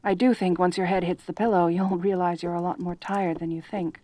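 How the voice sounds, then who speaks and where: A woman's voice: lowish voice, fairly even intonation, fairly quick, dark tone, dry recording, natural voice. A woman voicing a lonely, possessive but caring centaur girl in a roleplay audio drama, speaking in a hesitant, stammering, earnest way. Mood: gentle, caring. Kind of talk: roleplay ASMR audio drama, intimate one-sided dialogue.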